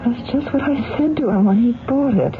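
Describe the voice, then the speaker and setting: A woman's voice: on the high side, moderate pace, noisy background, lightly acted. An actress in a 1970s radio drama playing an anxious, sleepless woman haunted during a trial, speaking in a nervous, hesitant way. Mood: uneasy, evasive. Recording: muffled, phone-like old radio broadcast.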